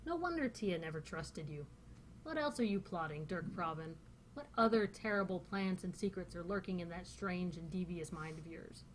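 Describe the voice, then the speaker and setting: A Northern Californian woman reading aloud the part of a suspicious, sharp-tongued young woman from a novel. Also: fairly low pitch, lively intonation, moderate pace, slight background noise, close to an everyday voice, rough recording. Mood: accusing.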